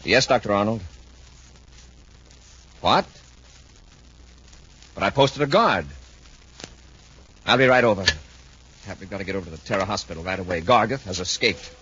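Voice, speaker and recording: A man's voice: on the low side, pushed and bright, lo-fi sound. A man playing an impatient, authoritative space patrol commander in a 1950s radio adventure. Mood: urgent, commanding. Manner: brisk, authoritative, clipped orders. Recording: noisy, band-limited 1950s radio recording.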